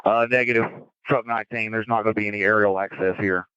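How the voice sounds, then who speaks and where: Over a dull, dry two-way radio, a man gives orders as a fire commander. His delivery is quick, clipped and businesslike. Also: fairly low pitch, steady tone, pushed and bright, smooth, dry recording. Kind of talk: terse emergency radio dispatches. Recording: dull, band-limited fireground radio traffic.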